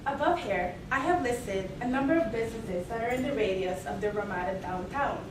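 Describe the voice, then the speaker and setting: Young woman pitching formally in an echoey hall. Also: lively intonation. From Mexico and Belize. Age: young adult. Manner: measured, formal pitching with repeated "moving right along". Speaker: student presenter. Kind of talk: rehearsed case presentation. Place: large echoey hall, heard from afar. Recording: distant echoey hall recording with noise.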